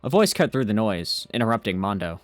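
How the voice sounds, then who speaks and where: A man's voice: lowish voice, sing-song, brisk, no echo, faint music behind. A man in an amateur anime fan dub playing an earnest, anxious ordinary teenage boy. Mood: narrating. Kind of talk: amateur fan dub with first-person narration.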